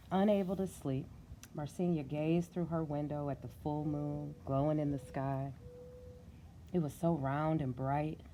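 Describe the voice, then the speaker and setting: A middle-aged woman reading a storybook aloud in an encouraging, conversational way, pausing to put questions to a young listener. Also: sits low, dark tone, slight background noise.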